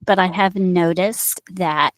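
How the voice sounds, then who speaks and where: A woman's voice: fairly low pitch, steady tone, takes it slowly, no echo. Indiana-accented woman instructor on a headset. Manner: explanatory, with frequent fillers and restarts. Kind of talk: recorded online course lecture. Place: small office with bookshelves.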